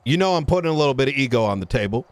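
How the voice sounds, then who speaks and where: A man's voice: medium pitch, animated, racing, dry recording, natural voice. A man playing a blunt, foul-mouthed police chief in an improvised roleplay argument, talking casually and argumentatively. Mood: defiant, bargaining.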